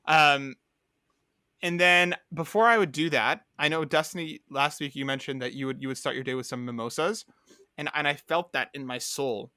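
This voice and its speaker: Bright-voiced young man rambling cheerfully. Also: on the high side, fairly even intonation, moderate pace, no echo, studio quality. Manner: rambling, upbeat storytelling with many fillers. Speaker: podcast co-host. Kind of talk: casual podcast chat.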